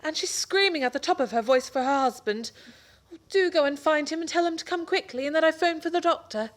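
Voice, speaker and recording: An actress playing a flustered, worried young wife who fusses anxiously, in 1930s radio-play style. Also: female voice, sits high, brisk, natural voice.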